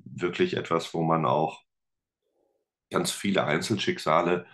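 A man with a very low, deep voice talks casually as a podcast guest over a video call. He hesitates a lot, restarts his sentences, and drops in dry jokes. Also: steady tone, measured pace, a bit bright. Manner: hesitant, many fillers and restarts, joking. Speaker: podcast guest, teacher and musician. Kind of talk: casual conversation. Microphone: remote video call.

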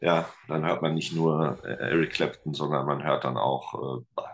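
A middle-aged man, a teacher and musician, talking casually as a podcast guest, relaxed and rambling with many fillers. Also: extremely low pitch, moderate pace. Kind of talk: casual interview chat.

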